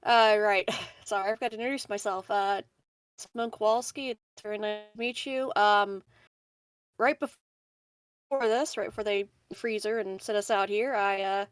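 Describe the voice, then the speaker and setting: A woman's voice: medium pitch, fairly even intonation, moderate pace, clear, resonant tone, no echo. A woman playing a tabletop roleplaying game, chatting casually and improvising in a hesitant, giggly way.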